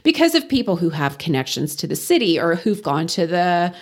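A woman from the Texas Panhandle, a guest in a radio interview, talking loudly and earnestly, leaning hard on key words. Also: fairly low pitch, sing-song, a little fast. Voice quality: loud.

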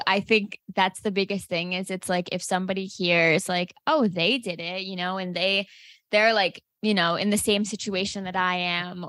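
A woman's voice: mid-range voice, a bit bright, no room sound. A woman hosting a podcast interview, talking casually with lots of fillers and laughter. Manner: friendly, says like and you know a lot, laughs. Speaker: podcast host.

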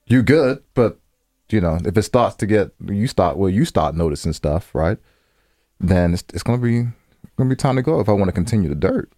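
Male podcast co-host, low-pitched, close dry sound, loose street-casual phrasing with some cursing. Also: lively intonation, a little fast, mellow, no echo. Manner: informal slangy speech with swearing. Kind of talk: casual conversational advice discussion.

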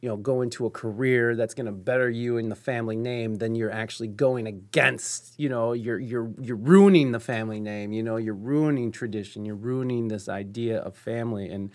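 American man as interviewer, mid-pitched voice, halting and searching, then animated. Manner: halting, many fillers and restarts, then animated. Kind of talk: casual interview conversation.

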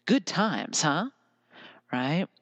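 An earnest American podcaster muses alone into the mic and slips into lyrical, repeating phrases. The voice sits at a middle pitch, and the recording is very dry, with no room sound. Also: very animated, very slow, fairly bright tone, dry recording. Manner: earnest, musing, drifts into lyrical repetition. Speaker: podcast host. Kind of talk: reflective solo podcast monologue.

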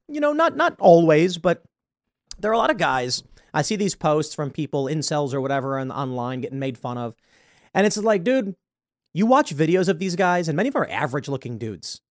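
A man hosting a commentary show, talking through his views in a halting way with many repeated words and sudden loud emphasis. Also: fairly high pitch, no echo, studio quality. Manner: hesitant, repeats words, stresses points loudly. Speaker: show host. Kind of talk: political commentary monologue.

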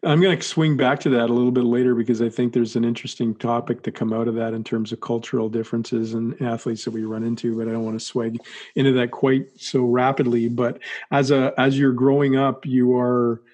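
Flat-toned, mellow male interviewer. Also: mid-range voice. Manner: rambling, halting questions. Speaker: podcast host.

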